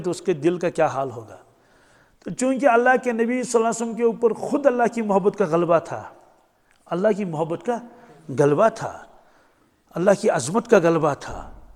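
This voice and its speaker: A man preaches in a high-pitched voice. He teaches in a storytelling sermon style, repeating phrases and questioning his listeners. His words ring out with long reverberation in a large hall.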